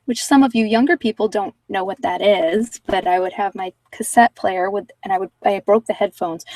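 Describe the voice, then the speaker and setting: A woman's voice: mid-range voice, creaky, a little mellow, no room sound. Candid woman chatting, slightly distant dry sound. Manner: informal, candid, self-deprecating. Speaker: livestream host giving card readings. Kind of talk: casual rambling chat with live viewers.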